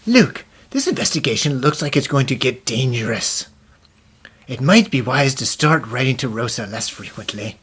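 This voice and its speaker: A woman as a low, bright-edged lady detective. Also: lively intonation, moderate pace, slightly rough, full character voice. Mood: self-assured. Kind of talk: animated fan dub dialogue.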